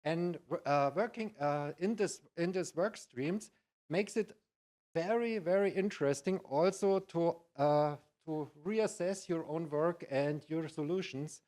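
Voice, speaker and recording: A middle-aged or older man with a Bavarian German accent, giving a conference presentation in halting, non-native English. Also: fairly high pitch, a little mellow. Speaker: conference speaker, IT practitioner.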